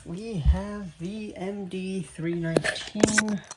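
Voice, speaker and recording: A man giving an informal, halting show-and-tell narration as a radio hobbyist. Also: high-pitched, fairly even intonation. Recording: clearly noisy with clicks. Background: weather radio playing.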